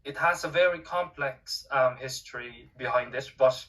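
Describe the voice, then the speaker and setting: A young man with an accent that blends Cork with Filipino, speaking as a guest in casual conversation. His voice is bright and forceful. He sits a little back from the mic and keeps stopping on fillers and restarts. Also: medium pitch, normal speed, distant mic, poor audio. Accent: mixed Cork and Filipino. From Ireland. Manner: hesitant, many fillers and restarts. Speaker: podcast guest.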